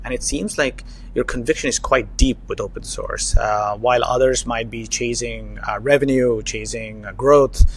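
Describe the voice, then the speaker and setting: A man's voice: mid-range voice, steady tone, moderate pace, hardly any pauses, a little hiss or hum. A man hosting a podcast, asking long, hesitant questions with many fillers. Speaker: podcast host, investor. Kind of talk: interview questions, conversational.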